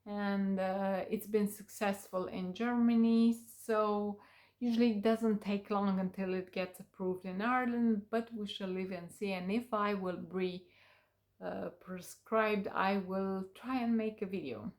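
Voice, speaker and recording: A woman with Romanian as her first language talks to her viewers in English. Her voice sits at an ordinary mid pitch. She takes her time and often pauses on fillers as she searches for words. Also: fairly even intonation, a little mellow. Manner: unhurried, with many fillers and pauses. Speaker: vlogger. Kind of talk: casual talk to camera.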